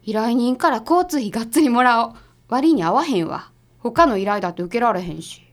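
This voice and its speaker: A woman's voice: fairly high pitch, animated, natural voice. A woman playing a blunt, skeptical detective's assistant with a Kansai accent in a comedic radio drama. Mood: brisk, businesslike. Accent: Kansai Japanese. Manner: blunt, brisk retorts.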